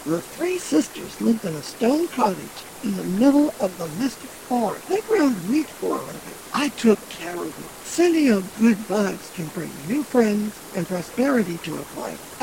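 A wry, self-assured female cat tells her fairy tale as a performer acts her out. The delivery is droll and measured, with creaky edges and lively swings. Clear noise sits on the homemade recording. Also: medium pitch. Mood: smug, calm. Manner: droll, measured first-person narrating. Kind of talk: fantasy audio drama storytelling.

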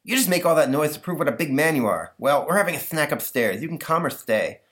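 A man's voice: on the high side, expressive, fast talker, fairly bright tone, voice pushed up. A man voicing an earnest, exasperated little boy who protests eagerly, for a comic story. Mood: scolding.

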